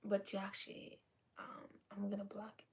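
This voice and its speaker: A woman in her twenties rambling through a personal confession to camera, halting, with lots of ums and restarts, and often dropping to a half-whisper. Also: mid-range voice, monotone, a little mellow, breathy edge. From United States. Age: 20s. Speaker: vlogger.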